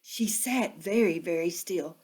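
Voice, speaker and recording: A woman narrating a children's storybook expressively, with deliberate phrasing suited to young listeners. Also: fairly low pitch, darkish tone, husky.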